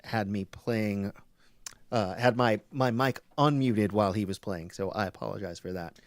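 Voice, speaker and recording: A man casually hosting a live open mic webcast, with a halting delivery that gets easily sidetracked. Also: lowish voice, no echo.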